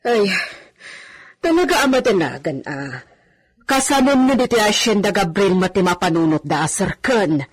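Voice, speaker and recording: A woman plays an outspoken, worried servant in a radio drama. Her husky, medium-pitched voice is fretful and complaining. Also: big pitch swings, moderate pace. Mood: worried, critical. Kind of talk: Ilocano radio drama acting.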